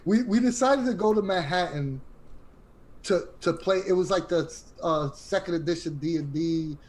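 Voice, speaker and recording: A man's voice: high-pitched, normal speed, darkish tone, a little hiss or hum, rough recording. A man telling personal stories in an informal, emphatic way in a casual group chat. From Queens, New York City. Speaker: podcast panelist.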